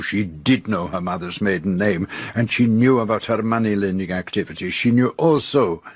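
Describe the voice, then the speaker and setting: A confident detective in a radio drama, his voice low and husky. He speaks with formal, measured assurance, setting out his case step by step. The sound is close and dry. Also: no room sound. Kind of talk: radio drama acting, detective's denouement monologue.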